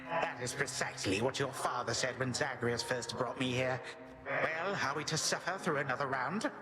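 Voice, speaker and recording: An actor playing an ancient, bitter Titan grandfather in a video game, with a breathy hiss and grandiose, archaic, sardonic delivery. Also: mid-range voice, moderate pace, close to an everyday voice. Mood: playful, indulgent. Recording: loud music behind, echoing room. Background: loud game music.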